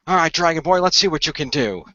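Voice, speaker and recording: Man playing a bright-voiced, composed sword-wielding hero. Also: a little high, expressive, fast talker, no room sound, dull audio. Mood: determined. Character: composed sword-wielding hero, commanding and encouraging young allies.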